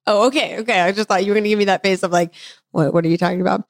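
A woman, co-hosting a casual podcast chat; she is talkative, laughs easily, and her voice is still slightly hoarse from laryngitis. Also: mid-range voice, steady tone, rapid-fire, dry recording, studio quality. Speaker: podcast co-host.

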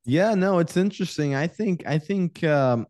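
A man's voice: a little high, expressive, normal speed, a little mellow, no echo. Frank young man, dry close sound. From Iraq. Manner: candid, frank, repeats words while thinking aloud. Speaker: podcast guest, real estate investor. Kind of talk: casual interview storytelling.

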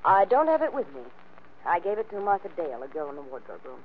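An actress playing a wary, bitter scorned wife in the style of a 1940s radio drama. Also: female voice, fairly low pitch, very animated, quick pace, a little hiss or hum. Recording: muffled old radio recording.

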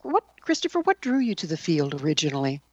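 A woman interviewing someone conversationally, asking her questions in a halting way with restarts. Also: deep voice, huge pitch swings, darkish tone, no room sound, lo-fi sound.

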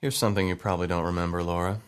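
A man's voice: deep voice, steady tone, rapid-fire, clean tone, natural voice. An actor playing a young adult man who narrates memories of his childhood in a wistful, conversational way. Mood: reflective. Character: grown man recalling his childhood, thoughtful. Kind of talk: reflective dramatic narration.

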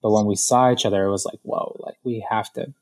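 A man in his thirties from Ecuador, a podcast guest talking thoughtfully and softly, with frequent fillers and restarts. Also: fairly low pitch, steady tone, fast talker. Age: 30s. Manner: thoughtful, soft-spoken, many fillers and restarts. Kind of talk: reflective conversational interview.

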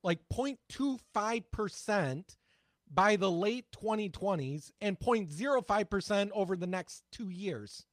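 A man's voice: high-pitched, expressive, a little slow, dry recording. A man co-hosting a casual political podcast, talking bluntly and sarcastically, with some profanity. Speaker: podcast co-host.

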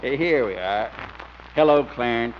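An actor playing a folksy, joking small-town marshal with rustic talk in 1950s radio comedy style. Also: male voice, medium pitch, lively intonation, a little slow, lightly acted. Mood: genial. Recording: muffled 1950s radio recording, faint noise.

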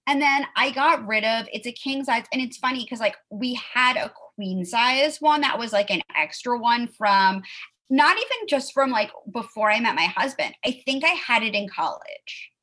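A woman in her thirties hosts a relaxed chat, filling her talk with "like" and quick asides. Her voice is bright and forward. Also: fairly high pitch. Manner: chatty, peppered with "like", quick asides and laughs. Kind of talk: casual chat.

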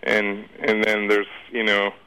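A man's voice: on the low side, monotone, a little slow, pushed and bright, clear, resonant tone, rough recording. A man speaking as an expert interview guest, explaining things informally in a conversational, circling way. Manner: informal, circling explanations with repeated "you know".

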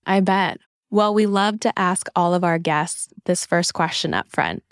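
A woman's voice: on the low side, measured pace, clean tone, dry recording. A woman hosting a podcast, giving upbeat, scripted introductions and questions from a virtual studio. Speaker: podcast host.

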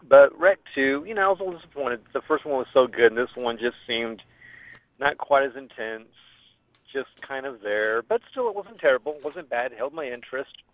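A man's voice: medium pitch, animated, brisk. A man leaving a casual spoken review as a caller over the telephone. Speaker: caller giving a film review. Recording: dull, dry, phone-line voicemail.

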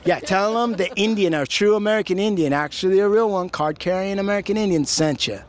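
A man hosting a podcast in casual chat, joking and teasing, firing off questions and swearing freely. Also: high-pitched. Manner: profane, teasing, rapid-fire questioning. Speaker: podcast host.

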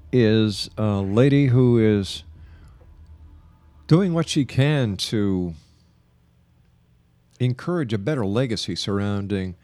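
A man hosting a radio talk show, speaking earnestly and measured, rushing through announcements before breaks. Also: fairly low pitch, mellow, no room sound. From Canada. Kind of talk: radio interview.

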